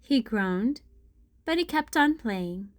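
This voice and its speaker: Slow, highish woman reading a storybook. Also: lively intonation, no echo, clean studio sound. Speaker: children's read-aloud host. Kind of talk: storybook narration for children.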